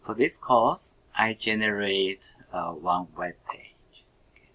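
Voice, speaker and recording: A middle-aged man who is not a native English speaker, lecturing to students in halting, simple phrases and often checking with an okay. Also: lowish voice, bright, forceful tone, rough recording. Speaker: university lecturer.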